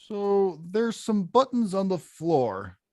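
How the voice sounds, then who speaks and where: A woman acts out a clumsy, good-hearted big man from a cartoon, coaching bluntly. The delivery is lively and swings widely, yet a bit unhurried. The sound is close and dry. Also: medium pitch, no echo. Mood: explaining, unsure. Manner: blunt, hesitant, exclamatory. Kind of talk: live animated-film table read.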